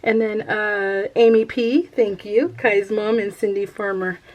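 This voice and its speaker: A woman's voice: mid-range voice, steady tone, a little slow, a little mellow, rough recording. Casting: American female craft vlogger, mid-range voice, informal and giggly, rambling delivery with self-deprecating asides and unfinished sentences. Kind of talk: casual chat to viewers while showing a craft project.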